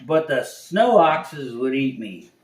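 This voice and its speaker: A man voicing a timid young male polar bear who speaks slowly and haltingly, as if frightened, in an echoey room. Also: fairly low pitch. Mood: fearful. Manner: fearful, halting, slow.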